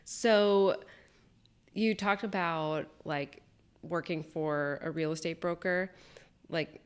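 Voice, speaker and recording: A woman's voice: deep voice, slow pace, clear, resonant tone. A woman hosting a podcast who asks chatty questions full of "like". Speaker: podcast host. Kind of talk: casual interview.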